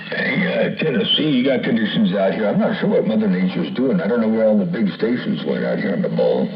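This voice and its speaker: A man making casual CB radio chat in a folksy, halting way, full of operator slang and sign-offs. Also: lowish voice, big pitch swings, gravelly, distant mic, highs cut off. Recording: roomy, band-limited CB radio audio, loud music.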